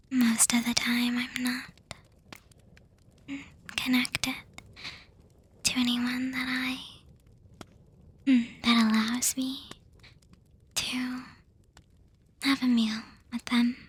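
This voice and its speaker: A woman voicing an affectionate, teasing young vampire girlfriend in a breathy, hesitant whisper for an ASMR roleplay. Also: on the high side, level tone, exaggerated voice. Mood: affectionate, reassuring. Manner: slow, hesitant, gently reassuring with soft hums.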